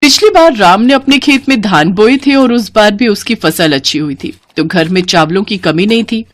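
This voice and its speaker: A woman narrator tells a scripted village fable. Her delivery is animated, with lively swings in intonation at a medium pitch. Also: moderate pace. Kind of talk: scripted moral-story narration.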